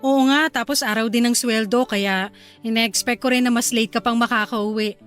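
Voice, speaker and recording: An actress playing a gentle, caring young newlywed wife in a radio drama, speaking softly and affectionately. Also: female voice, a little high, steady tone, normal speed, faint music behind, close to an everyday voice. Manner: soft, affectionate and considerate.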